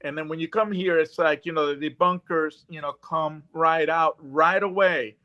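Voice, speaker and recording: A man in his fifties from Puerto Rico with a Puerto Rican accent, talking about himself on a video call in an earnest, halting way with many fillers. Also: on the high side, steady tone, muffled recording. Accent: Puerto Rican Spanish. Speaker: guest. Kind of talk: casual video call interview.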